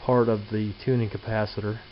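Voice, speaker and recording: A man's voice: fairly low pitch, level tone, brisk. A man giving a casual, hands-on show-and-tell as a hobbyist presenter, musing aloud in a folksy way on a handheld camera mic in a workshop. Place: indoor home workshop or garage. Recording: dull lo-fi camcorder audio with hiss.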